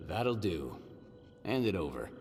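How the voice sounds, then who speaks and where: A man playing a curt, gruff survivor in a video game. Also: low-pitched, big pitch swings, unhurried, darkish tone. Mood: curt, guarded. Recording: roomy reverb with faint music.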